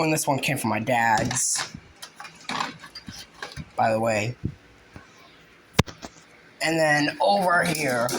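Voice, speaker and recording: Clear noise and small clicks sit around the voice in this homemade recording. The speaker is a man with a fairly high voice. He presents in an informal hobbyist show-and-tell style, halting as he goes and letting phrases trail away. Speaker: hobbyist video creator. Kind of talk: casual show-and-tell narration. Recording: noisy home video with clicks.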